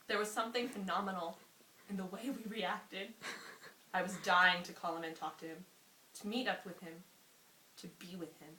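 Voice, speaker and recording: A woman's voice: fairly low pitch, sharp tone. A woman reading a story aloud informally, as an amateur, prone to giggly asides. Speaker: amateur reader. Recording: roomy echo, faint hiss.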